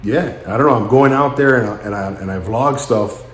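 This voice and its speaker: A man in his late thirties speaking in a halting, somber and introspective way, as in a personal vlog monologue. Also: lowish voice, echoey room. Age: late 30s. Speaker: vlogger.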